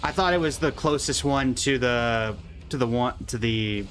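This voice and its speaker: A man streaming a game who reacts excitably and talks to his chat. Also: medium pitch, normal speed, faint music behind. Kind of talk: casual live-stream reaction.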